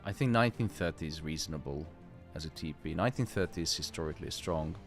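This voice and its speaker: A man's voice: extremely low pitch, fast, soft background music. A man hosting a live trading stream, chatting casually, swearing freely and explaining the market at length.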